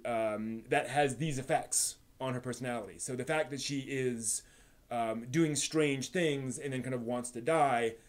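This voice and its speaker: A man with a mid-pitched voice chats casually as a live stream host. He explains ideas analytically, working them out as he speaks. The delivery is halting, with repeated words and unscripted detours. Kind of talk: casual rambling live stream chat about anime.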